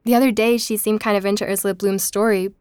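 An actress playing a curious, skeptical woman investigator in an audio drama, speaking in a measured, cautious way. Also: mid-range voice, fairly even intonation, darkish tone, smooth, dry recording, studio quality, natural voice. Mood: reflective narration. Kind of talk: audio drama narration and naturalistic dialogue.

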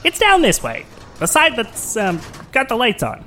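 A woman's voice: on the high side, huge pitch swings, normal speed, sharp tone, a little hiss or hum, exaggerated voice. A woman acting in an audio drama as a chatty, eager facility guide who rambles a little hesitantly.

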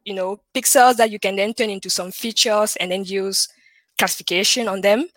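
Cameroonian woman, 30s, French-accented English, lively guest, dry recording. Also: medium pitch, brisk, dry recording, rough recording. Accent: French-speaking Cameroonian. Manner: animated, laughs often, frequent fillers. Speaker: guest, computer vision researcher. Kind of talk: casual interview chat.

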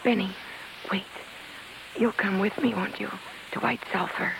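Creaky-voiced, devoted showbiz wife. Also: female voice, mid-range voice, steady tone, measured pace, background noise. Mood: wistful, pleading. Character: brash, devoted singer wife, stricken with laryngitis. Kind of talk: 1950s radio acting. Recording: vintage 1950s radio broadcast.

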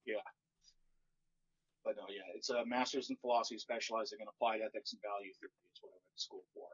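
A man who does stand-up comedy, talking with wry, deadpan storytelling in a fairly monotone voice. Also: lowish voice, fast talker, breathy edge, highs cut off. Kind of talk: casual podcast chat.

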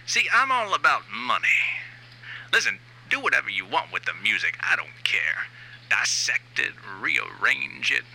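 A man's voice: mid-range voice, creaky, pushed and bright, exaggerated voice, low-quality recording. A man playing a cynical, money-hungry aging new wave frontman in a satirical monologue, ranting in a sardonic, profane, emphatic way. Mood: flippant, cynical.

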